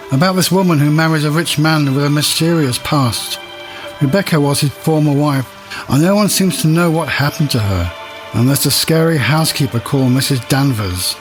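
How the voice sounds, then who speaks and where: A man playing a proud, wistful cinema manager in an audio monologue, confiding and reflective, with a husky voice. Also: on the high side, natural voice. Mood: enthusiastic.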